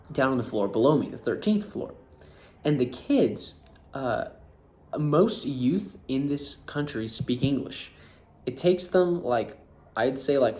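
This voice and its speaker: An American man in casual storytelling, animated and laughing as he acts out what people said. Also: medium pitch, a little hiss or hum. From United States. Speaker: vlogger.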